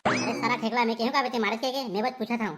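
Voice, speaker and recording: A woman acts out a blustering cartoon police inspector with a Chhattisgarhi accent. The voice is high and very quick. The inspector scolds and bullies, firing off curt questions at the villagers. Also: fairly even intonation, fairly bright tone, faint music behind, natural voice. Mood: officious. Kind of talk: Chhattisgarhi comedy cartoon voice acting.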